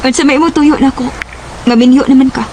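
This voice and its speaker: A woman's voice: sits high, fairly even intonation, fast, noisy background. A woman acting a heartbroken young woman in love in a Cebuano radio drama, with an emotional, plaintive delivery. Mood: accusing, wary.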